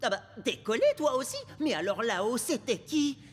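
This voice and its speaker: An actor voicing an earnest preteen boy amoeba, the hero of an animated cartoon, with an expressive, slangy way of talking. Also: mid-range voice, moderate pace, natural voice. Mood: bewildered.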